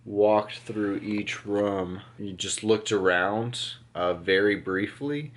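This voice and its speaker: A man's voice: fairly low pitch, takes it slowly. A young man chatting casually beside his wife, mostly giving brief, dry, agreeing replies. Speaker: husband and co-vlogger. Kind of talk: casual chat.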